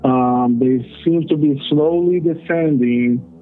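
A man from El Salvador phones in to share a story, his voice squeezed through a narrow, tinny phone line. He talks haltingly, stopping often with ums and uhs, with faint music under the call. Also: mid-range voice. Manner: halting, many fillers. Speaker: caller. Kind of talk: telling a personal story on a call-in show.

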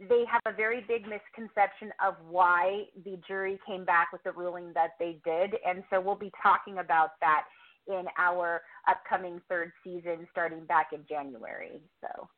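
A woman's voice: on the low side, fairly even intonation, moderate pace. A woman podcaster and producer talking casually as a guest in an interview. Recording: muffled, band-limited call audio.

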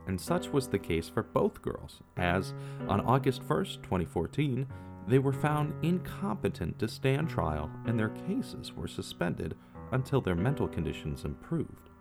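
A man giving scripted true crime narration, measured, with casual asides to the viewer. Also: medium pitch, very animated, gravelly. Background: faint guitar music.

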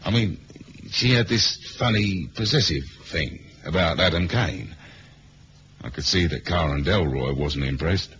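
A man's voice: deep voice, animated, a little slow, fairly bright tone. A man playing a world-weary, sardonic British spy in a 1970s radio drama, with dry, slangy delivery and a British accent. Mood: wry narration. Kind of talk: 1970s radio spy drama, acting and first-person narration. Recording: muffled old radio recording, faint hiss.

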